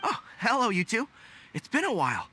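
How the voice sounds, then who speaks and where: A man's voice: very high-pitched, wildly swooping pitch, brisk, bright, forceful tone, a little hiss or hum, heavily acted. A man voicing a polite, modest, friendly young retainer in a video game. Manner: courteous, modest.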